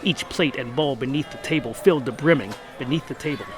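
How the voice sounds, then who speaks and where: A man reads a scripted comic fantasy tale as its narrator. His delivery is wry and dramatic at a medium pitch, with clear music running underneath. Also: fairly quick.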